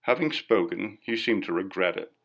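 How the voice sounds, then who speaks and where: A man's voice: low-pitched, expressive, normal speed, fairly bright tone. A man narrating a classic ghost story as a scripted audiobook reading.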